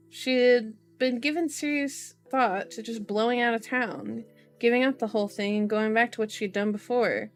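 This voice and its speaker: A woman reading a novel aloud in an ASMR style, with informal, giggly asides, as a streamer. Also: on the high side. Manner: giggly, self-interrupting, informal.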